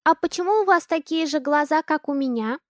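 A woman voicing a curious, chatty four-year-old girl in a high, innocent voice, recorded close and dry. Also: a little fast, no echo, close to an everyday voice.

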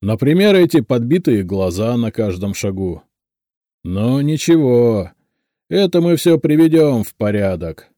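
A man voicing an angry, domineering bald office boss, loud and booming, with a pompous, scornful manner, in a story reading. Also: lively intonation, moderate pace, dry recording, clean studio sound, close to an everyday voice. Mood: indignant, scornful. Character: angry bald new male boss, domineering, barking at clerks.

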